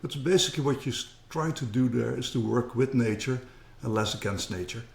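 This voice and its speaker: A man's voice: medium pitch, fairly quick, gravelly, roomy sound. A middle-aged man with a Dutch accent, talking earnestly as a guest in a casual interview and often repeating words as he starts a thought. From Netherlands. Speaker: guest, company co-founder.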